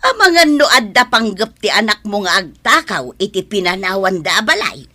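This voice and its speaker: A woman's voice: mid-range voice, big pitch swings, normal speed, pushed and bright. A woman with an Ilocano accent playing a scolding, suspicious, gossipy neighbour in a radio drama. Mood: accusing.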